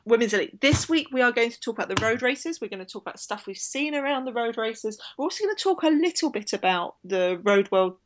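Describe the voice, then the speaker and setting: A woman's voice: fairly high pitch, animated, fast, hardly any pauses. Female podcast host, informal and talkative, prone to wandering off on tangents. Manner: chatty, digressive. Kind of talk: casual chat podcast about women's cycling.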